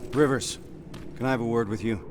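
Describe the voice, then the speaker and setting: An actor playing a stern, dutiful resistance squad sergeant with a commanding military manner in a video game. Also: male voice, medium pitch, brisk, background noise, natural voice. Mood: grave, probing. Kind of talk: dramatic cutscene acting. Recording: game music behind the voice.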